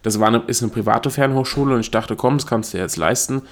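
A man's voice: medium pitch, fast talker. A young adult man from Germany hosting his own solo podcast, talking in a chatty, self-correcting way and laughing at himself. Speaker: podcast host. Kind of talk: casual solo podcast monologue.

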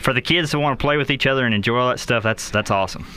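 Fast-talking bright Texan radio host. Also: male voice, medium pitch, a little hiss or hum. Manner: brief agreeing interjections. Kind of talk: casual radio chat.

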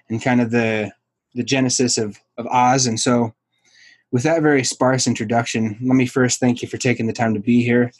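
A man hosting a podcast who speaks at an ordinary pitch. He fumbles through his introduction with frequent ums and restarts. The sound is dry and close, with no room in it. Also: a little mellow, no room sound. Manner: halting, hesitant, lots of fillers. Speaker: podcast host. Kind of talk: casual interview chat.

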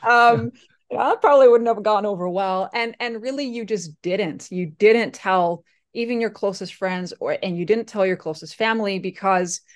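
A woman's voice: mid-range voice, normal speed. A woman from Slovakia, Slovak her first language, telling her story casually in an interview with many fillers and restarts. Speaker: guest.

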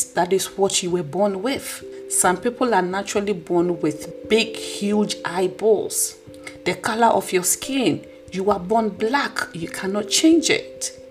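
Husky-voiced woman giving an earnest pep talk. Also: medium pitch. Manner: earnest, preachy, encouraging. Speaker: podcast host. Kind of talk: motivational podcast monologue.

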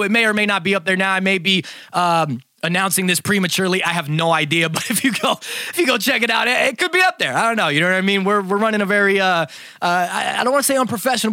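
A man's voice: high voice, bright, forceful tone, dry recording, clean studio sound. A young man hosting a podcast, talking in a hype, rambling way with lots of fillers, a bit congested from a cold. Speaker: podcast host. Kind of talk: casual podcast chat.

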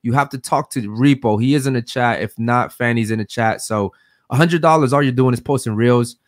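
A man's voice: mid-range voice, fairly quick, no echo, studio quality. A man co-hosting a podcast, talking casually and laughing, calling the audience "man" and "bro". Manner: laid-back, says "man" and "bro" a lot, laughs often. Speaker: podcast co-host. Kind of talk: casual chat.

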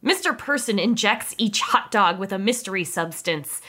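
A woman podcast host narrating a comedy script in a lively way, with playful asides. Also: mid-range voice, moderate pace.

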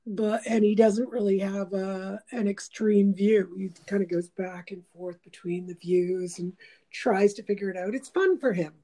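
Mellow-voiced woman musing in a casual chat. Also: mid-range voice, fairly even intonation, moderate pace. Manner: meandering, reflective, with many fillers. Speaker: host.

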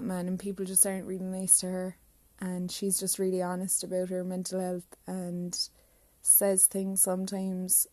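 A woman's voice: on the low side, warm tone, dry recording. A young Irish woman hosting her own podcast, chatting casually, rambling, swearing freely and talking to herself. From Ireland. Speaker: podcast host. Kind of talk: casual solo podcast chat.

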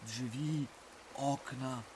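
An actor playing a rustic, colloquial village storyteller narrating a local legend in an unhurried way in an audio drama. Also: male voice, mid-range voice, steady tone, a little mellow, slight background noise. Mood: calm storytelling.

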